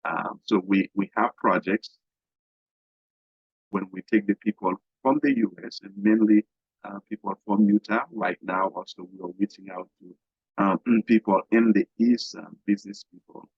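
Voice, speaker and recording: A middle-aged man from Haiti, a guest business coach talking haltingly in an interview, with many fillers and restarts. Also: on the low side, fairly even intonation, husky, no room sound. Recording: dull, dry lo-fi sound.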